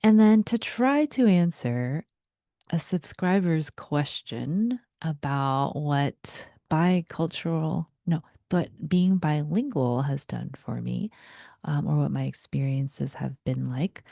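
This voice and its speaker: A young woman whose first language is Vietnamese, giving a reflective guided talk in a soft voice that often slips into a breathy whisper. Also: low voice, slow, no echo, studio quality. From United States. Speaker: vlogger.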